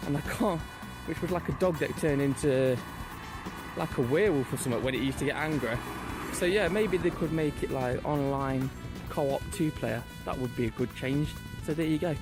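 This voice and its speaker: A man's voice: on the high side, normal speed, music in the background. A man in his thirties chatting casually outdoors, often repeating and correcting himself, filmed at arm's length. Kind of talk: casual chat. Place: outdoors on a residential street. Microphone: phone or camera held at arm's length.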